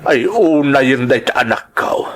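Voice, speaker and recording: A man's voice: mid-range voice, animated, measured pace. Guy doing grumbly emotional old ilocano dad, protective, audio drama acting. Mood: outraged, pained. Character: aggrieved, protective elderly father of married daughter.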